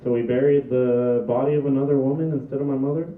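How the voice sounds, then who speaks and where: A man's voice: mid-range voice, fairly even intonation, fast, natural voice. A man acting a dutiful, exasperated adult son in a comedy table read, dry and sincere. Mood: exasperated, puzzled. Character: exasperated, dutiful adult son holding his family together. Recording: echoey, distant, thin phone-like room recording.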